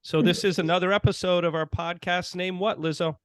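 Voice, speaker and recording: A man's voice: high voice, fairly even intonation, brisk, clean tone, no room sound. A man co-hosting a podcast over a video call, joking and teasing in casual chat. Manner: joking, teasing, loud bursts. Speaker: podcast co-host.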